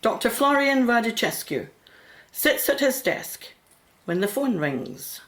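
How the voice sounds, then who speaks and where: A radio drama narrator sets each scene from a script. The voice sits at a middle pitch and moves with lively inflection. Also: a little slow.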